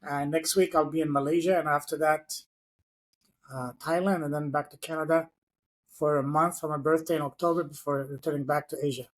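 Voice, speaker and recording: A man's voice: fairly high pitch, fairly even intonation, brisk. A man who grew up in France, a guest speaking English as an acquired language, telling his story earnestly with frequent fillers on a video call. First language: not English. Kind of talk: online interview.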